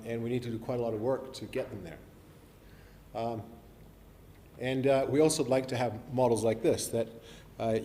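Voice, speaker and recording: A man's voice: mid-range voice, darkish tone. A middle-aged man from New Zealand with a mixed, not typically Kiwi accent, giving a chatty, self-deprecating talk to an audience, full of ums and restarts. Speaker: forestry professor giving a talk. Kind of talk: live presentation to an audience. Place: lecture hall. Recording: live hall recording with reverb and faint hiss.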